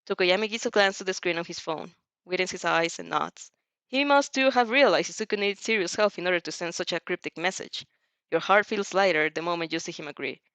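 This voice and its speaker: A woman's voice: lowish voice, brisk, fairly bright tone, dry recording. A woman narrating fan fiction expressively and dramatically, sometimes stumbling over and repeating words. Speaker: fan fiction reader and dubber. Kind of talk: expressive audio fanfiction narration with character voices.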